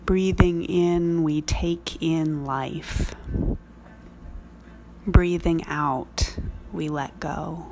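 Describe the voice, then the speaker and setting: Casting: woman meditation guide, lowish pitch, heavy vocal fry, measured and reflective, noticeable background noise. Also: steady tone. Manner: unhurried, measured, reflective phrasing with long pauses. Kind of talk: slow guided prayer meditation.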